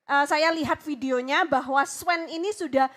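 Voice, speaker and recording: A woman's voice: sits high, moderate pace, a bit bright. A woman co-hosting an interview in a casual, exclaiming manner. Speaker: co-host. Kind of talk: casual chat.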